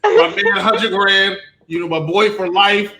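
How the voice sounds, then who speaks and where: A man's voice: high voice, steady tone, a little slow, pushed and bright, slight background noise. A man hosting a casual panel debate about movies in a teasing, playfully combative way. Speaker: host of a panel show.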